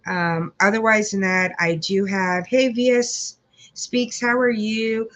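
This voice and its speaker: A woman's voice: mid-range voice, steady tone, slow pace, fairly bright tone, muffled recording. A woman hosting a casual live chat, congested, rambling with many fillers as she greets viewers. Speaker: livestream host.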